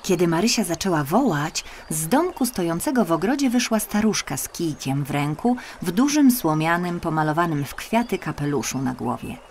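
A woman narrating a scripted children's story in an expressive, careful storytelling manner. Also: lowish voice, moderate pace, few pauses, a little hiss or hum. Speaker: audiobook narrator.

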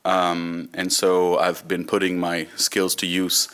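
A man's voice: sits low, monotone, slow, fairly bright tone, clean tone. A man in his thirties from Israel, a Hebrew speaker, gives an informal, wry conference talk with some storytelling. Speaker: conference speaker, volunteer digital librarian. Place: conference room, speaking from a lectern.

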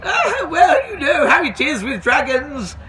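A man's voice: very high-pitched, sing-song, unhurried, a crowd in the background, voice pushed up, heavily acted. A man voicing a large, posh, gushing lady with a hooting manner in a storybook reading outdoors. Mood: soothing, flustered. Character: large posh well-bred lady, hooting, patronisingly soothing. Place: outdoors at a railway. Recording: outdoors with background bustle.

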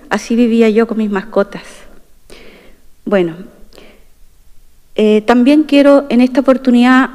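A middle-aged woman delivering a formal, earnest speech at a podium microphone in a large assembly chamber. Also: sits high. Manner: earnest and proud, stressing key phrases. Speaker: constitutional convention delegate. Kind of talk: formal opening speech, partly read aloud. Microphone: desk microphone at a podium. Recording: long reverb of a large chamber.

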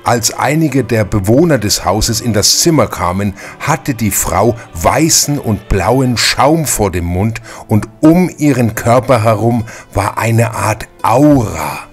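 A man narrates a retro detective radio play in a fairly low voice. His delivery is slow and scripted, like a storyteller's. Soft music runs underneath. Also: lively intonation.

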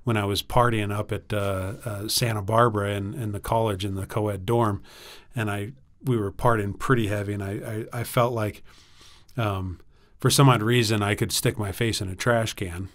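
An American man in his forties telling anecdotes casually as a podcast guest, halting and self-deprecating, on a studio mic. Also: fairly low pitch, steady tone. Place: small acoustically treated podcast studio. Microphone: studio microphone on boom arm.

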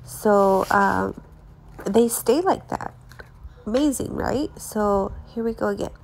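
A woman's voice: medium pitch, slow, vocal fry, darkish tone, a little hiss or hum, rough recording. A young woman, congested from allergies, chatting casually as she reviews her lash products, with many fillers and restarts.

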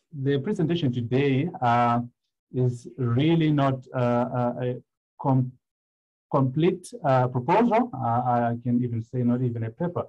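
Male academic from Zimbabwe, halting delivery, muffled video-call sound. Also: medium pitch, a little mellow. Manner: hesitant, many "uh" fillers. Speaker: guest speaker, economic historian. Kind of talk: academic online presentation. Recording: dull lo-fi video call audio.

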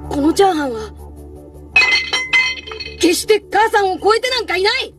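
A woman's voice: very high voice, expressive, moderate pace, far from the mic, faint music behind. A woman voicing an earnest, defiant young boy cook in a Japanese anime, speaking with fervent emphasis.

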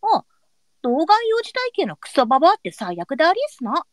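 Pouty young courtesan, raised pitch, lively swoops, catty, old brothel speech, radio drama. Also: female voice, fairly quick, dry recording, studio quality. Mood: catty, indignant.

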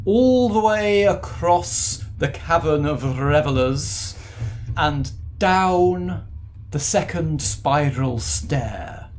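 A man's voice: on the high side, lively intonation, drawn-out words, a little hiss or hum. A man voicing a refined, snooty male satyr on a train, speaking in a prim, genteel manner. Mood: helpful. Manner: prim, genteel, faintly pompous.

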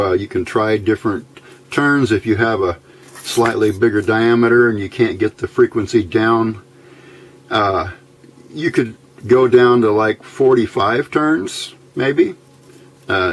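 A man giving a casual technical tutorial in a plain-spoken, methodical way, with hesitant pauses, as an electronics hobbyist at a workbench. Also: on the low side. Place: indoors at a workbench.

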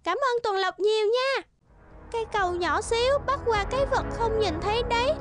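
A woman's voice: extremely high pitch, normal speed, soft background music. A woman voicing an earnest, anxious little girl in a children's cartoon, plaintive and pleading. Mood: determined.

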